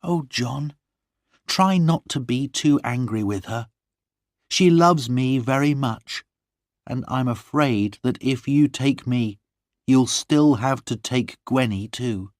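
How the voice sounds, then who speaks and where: Male reader voicing a lovestruck young noblewoman, high and heartfelt, dry close sound. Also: slow pace, dry recording, natural voice. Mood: tender. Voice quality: raised, emotional delivery. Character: young noblewoman, tender, passionate, pleading.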